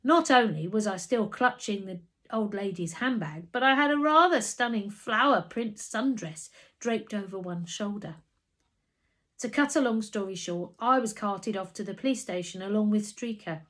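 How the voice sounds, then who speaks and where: A woman reading a children's story aloud as an expressive narrator. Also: medium pitch, normal speed.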